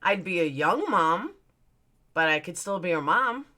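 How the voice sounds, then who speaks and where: Woman, 40s, livestream host: bright, punchy low-ish voice, blunt and chatty while answering viewer comments. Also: animated, unhurried. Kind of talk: casual livestream chat.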